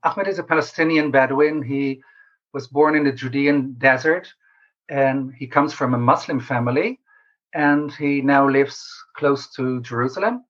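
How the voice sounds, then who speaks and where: A man answering interview questions as a researcher, explaining in a measured way with pauses mid-sentence. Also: mid-range voice, fairly bright tone. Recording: slightly dull lo-fi call audio.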